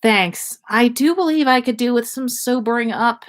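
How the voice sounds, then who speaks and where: A woman's voice: on the high side, moderate pace, voice pushed up, lightly acted. A woman voicing an awkward, easily flustered, tipsy young man in a story read aloud. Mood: polite. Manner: sheepish, muttering asides.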